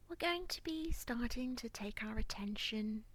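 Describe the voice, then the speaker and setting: Very slow, breathy woman guiding relaxation. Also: fairly high pitch. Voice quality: soft, breathy, near-whispered. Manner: calm, gentle, soothing, unhurried. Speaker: guided relaxation instructor. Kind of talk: guided body scan meditation.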